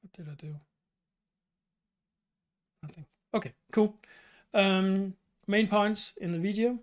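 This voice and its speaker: A man from Denmark with a Danish accent hosts his channel's live stream Q&A. He talks in a halting way, with many fillers and restarts. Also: high-pitched. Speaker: channel host.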